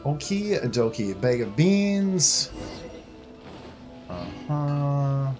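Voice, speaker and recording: A man's voice: fairly high pitch, very animated, very slow, darkish tone. A man giving casual, colloquial gameplay commentary, reacting and muttering to himself as he plays. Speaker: game streamer. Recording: faint game music behind the voice.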